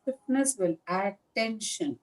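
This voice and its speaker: A woman leading a guided meditation as a teacher, speaking in a calm, measured manner with long pauses between short phrases. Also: mid-range voice. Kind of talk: guided meditation instruction, live session.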